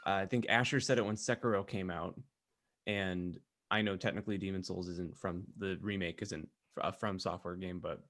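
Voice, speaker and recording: A man's voice: low voice, fairly even intonation, normal speed, a bit bright. A man co-hosting a casual podcast, telling stories to friends in a rambling, self-deprecating way. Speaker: podcast co-host. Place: remote video call.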